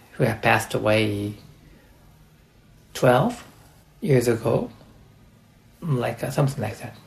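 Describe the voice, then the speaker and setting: A man with a Japanese accent, a coach hosting an instructional podcast, speaking deliberately in short, halting phrases. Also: medium pitch, creaky, low-quality recording. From Japan, partly raised in the United States.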